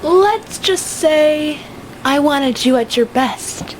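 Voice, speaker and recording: A woman's voice: sits high, lively intonation, takes it slowly, slight background noise, poor audio. A woman playing a playful, teasing young debater who is fond of her rival, in a radio drama.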